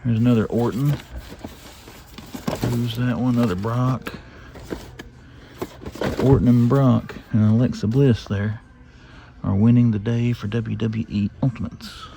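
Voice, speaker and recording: Gravelly Southern man browsing toy shelves. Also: medium pitch, steady tone, unhurried, pauses often, background noise. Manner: chatty, rambling, talks to himself while browsing shelves. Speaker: toy collector vlogger. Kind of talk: casual walk-through vlog. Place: retail store aisles.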